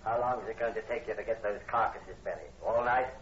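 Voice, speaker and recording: An actor playing a stern, secretive farm owner, curt and domineering, in a 1940s radio horror drama. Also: male voice, lowish voice, fast talker, a bit bright, natural voice. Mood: impatient. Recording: muffled, noisy 1940s radio recording.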